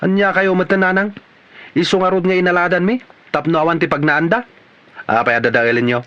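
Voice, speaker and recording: A man's voice: high-pitched, brisk, a bit bright, dry recording, highs cut off. A man with an Ilocano Filipino accent playing a stubborn, grumbling village man in a radio comedy drama. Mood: defensive, stubborn.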